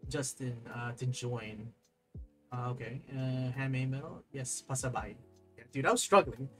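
A young man chatting casually on a live stream at his desk, rambling and excitable, reading chat aloud and laughing often. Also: mid-range voice, moderate pace, music in the background. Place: desk at home, overhead camera.

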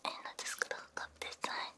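A woman whispering a soothing ASMR roleplay, breathy and unhurried, very close to a binaural microphone. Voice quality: breathy, mostly unvoiced whisper. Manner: soft, soothing, unhurried with gentle murmurs. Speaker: ASMR VTuber playing a robot repairer. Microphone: close binaural ear microphone.

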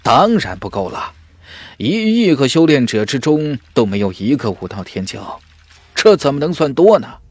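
A man's voice: mid-range voice, sing-song, moderate pace, a little mellow, dry recording, voice pushed up. A man voicing a grave fantasy warrior who explains and laments the decline of humanity in an audiobook. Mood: grave warning.

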